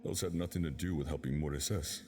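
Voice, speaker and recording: An actor playing a gruff, driven operative, protective and blunt, in an audio drama. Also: male voice, fairly even intonation, fast talker, a little mellow, soft background music. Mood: earnest, reassuring. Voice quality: very deep voice.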